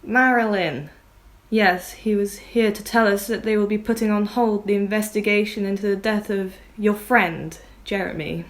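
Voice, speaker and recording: A haughty lady of the manor, played by a woman who speaks with mid-pitched, genteel archness, full of pointed remarks. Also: steady tone, moderate pace, natural voice. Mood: arch. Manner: genteel, arch.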